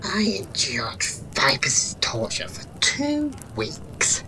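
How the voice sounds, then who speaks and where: A woman's voice: sits low, fairly bright tone, full character voice, poor audio. Woman doing a flippant giggly jester lady, fantasy audio play, very swoopy, bit of background noise. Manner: sarcastic, giggly, theatrical. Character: mocking, playful female jester, flippant yet shrewd. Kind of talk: fantasy audio drama acting.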